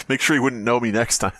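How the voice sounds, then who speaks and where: A man's voice: medium pitch, fairly bright tone. A man co-hosting a comedy podcast, reading a story aloud and bantering with mock exasperation and plenty of swearing. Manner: swears freely, mock-exasperated, breaks into impressions. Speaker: podcast co-host.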